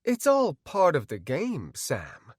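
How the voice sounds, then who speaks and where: Man playing a cool, slow-spoken cryptic doctor. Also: fairly high pitch, sing-song, no room sound, studio quality, pitched above the performer's own voice. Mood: cryptic calm. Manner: dispassionate, cryptic, admonishing. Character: dispassionate cryptic male doctor running a game.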